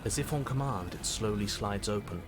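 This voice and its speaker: A stern male storyteller played by an actor narrates a dark video game in a low voice. The delivery is formal, measured and aloof, with an ominous edge, while loud music plays beneath. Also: lightly acted. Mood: grave, descriptive. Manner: measured, formal, exasperated when contradicted. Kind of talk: scripted dramatic game narration. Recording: loud background music under voice.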